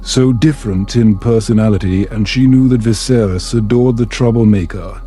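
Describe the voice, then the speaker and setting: A man narrating a calm bedtime story in a measured, soothing storybook manner. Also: mid-range voice.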